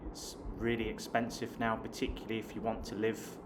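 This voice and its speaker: A man in his late thirties from the south of England speaks in a fairly low voice with a southern English accent. He chats to his viewers and calls them guys. Clear background noise runs under the recording. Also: flat delivery, a little fast. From Hampshire, south of England. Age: late 30s. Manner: chatty, addresses viewers as guys. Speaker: online English teacher and vlogger. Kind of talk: casual talk to camera.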